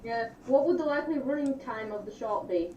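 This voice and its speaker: A woman's voice: a little high, lively intonation, brisk, dark tone, highs cut off, slight background noise. A woman co-hosting a casual live chat, explaining things informally. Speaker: co-host from the film production team. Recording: roomy, distant mic, voices around.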